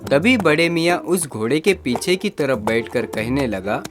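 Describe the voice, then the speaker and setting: A narrator reading a scripted comic moral story for an animated children's tale. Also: mid-range voice, measured pace, over music.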